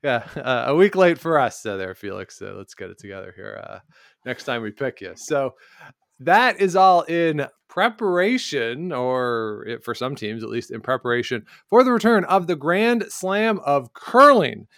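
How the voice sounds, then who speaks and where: A man's voice: mid-range voice, very animated, moderate pace, creaky, fairly bright tone, no echo, studio quality. A man with a Canadian accent co-hosting a casual sports talk show and recapping results. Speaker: podcast co-host.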